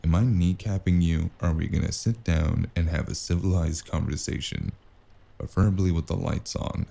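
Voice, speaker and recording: A male actor playing a cynical, wisecracking investigator in a noir audio drama, with a gravelly voice and a dry, menacing delivery. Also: low voice, fairly even intonation, normal speed, dark tone. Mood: dry menace. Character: cynical, wisecracking hardboiled spacer investigator with magic. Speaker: voice actor playing the lead and narrator.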